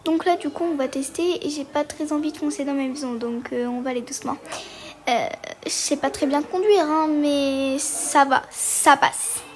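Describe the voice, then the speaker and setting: Hesitant, chatty woman with a high voice narrating her gameplay; faint noise in the background. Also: normal speed. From Portugal. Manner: hesitant, many fillers, chatty. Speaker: gaming video creator. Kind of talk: casual game walkthrough commentary.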